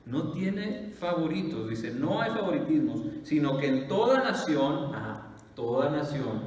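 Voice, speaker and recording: A pastor, preaching in a conversational style full of "eh" fillers and rhetorical questions. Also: male voice, fairly high pitch. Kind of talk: sermon. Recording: echoey hall from far off.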